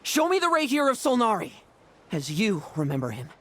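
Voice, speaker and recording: A man's voice: high voice, huge pitch swings, brisk, a bit bright, slight background noise, exaggerated voice. An actor playing a cocky, taunting young male knight in an anime-style game. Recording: music behind the voice.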